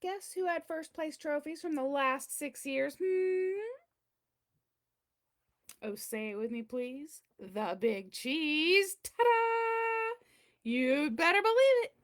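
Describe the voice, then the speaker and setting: Boastful cheese character, read by a woman, pompous, theatrical, swooping pitch, slow emphatic storybook delivery. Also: lively intonation. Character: boastful, attention-loving big chunk of cheddar cheese.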